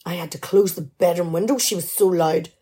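A woman playing a nagging, exasperated, sharp-tongued middle-aged wife in a radio play. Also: fairly low pitch, a little fast, husky. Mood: sarcastic, exasperated. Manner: exasperated, sarcastic scolding.

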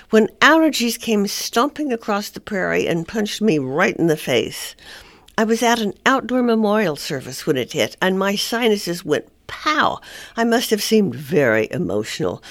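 A woman's voice: mid-range voice, dry recording. A woman columnist reading her humorous personal essay aloud in a wry, self-mocking way. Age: older.

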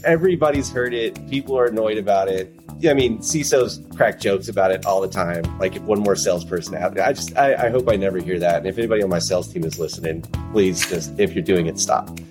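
A man speaking as an interview guest, laid-back and thoughtful, laughing easily. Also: low voice. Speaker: guest, sales leader. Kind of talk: casual interview.

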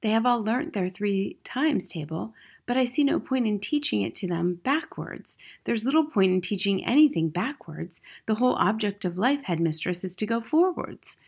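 A woman voicing a gentle female schoolteacher in a storybook, calm, polite and measured. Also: medium pitch, vocal fry, husky. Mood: politely defiant. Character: gentle, reasonable young female primary school teacher.

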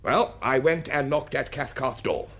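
An actor playing a blustering, evasive English aristocrat in a radio drama, with a clipped upper-class accent and a hesitant, old-fashioned manner. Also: male voice, medium pitch, animated, unhurried, a bit bright. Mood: indignant.

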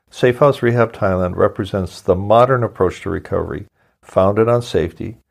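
A man hosting a podcast, reading a sponsor message and then interviewing a guest in an unhurried way with some hesitant fillers. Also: on the low side, gravelly, a little mellow. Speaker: podcast host.

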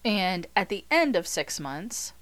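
A woman's voice: mid-range voice, sing-song, slow pace, fairly bright tone. A woman in her thirties hosting a podcast as a money coach, talking chattily with jokey asides, a little nasal from a cold. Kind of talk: solo podcast talk.

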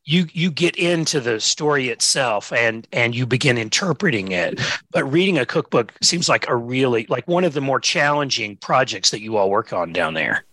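Man, middle pitch, bright tone, dry close sound, eager and rambling. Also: lively intonation, moderate pace, no echo. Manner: enthusiastic storyteller, uneven pace, many "you know" fillers. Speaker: museum director. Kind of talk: podcast conversation.

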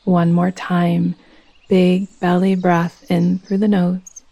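Slow, low-voiced woman guiding meditation. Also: little pitch movement, gravelly, lo-fi sound. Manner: soothing, gentle, unhurried instruction. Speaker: yoga nidra guide. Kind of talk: guided meditation.

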